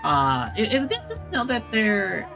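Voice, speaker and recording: A video game streamer giving casual, expressive live commentary and musing aloud while playing. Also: mid-range voice, measured pace, creaky, music in the background.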